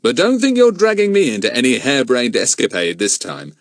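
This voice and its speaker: A man's voice: mid-range voice, huge pitch swings, brisk, no room sound, studio quality, voice pushed up. A man voicing a hearty, fond grown-up friend with a teasing, jovial manner in an audiobook. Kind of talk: audiobook character voice.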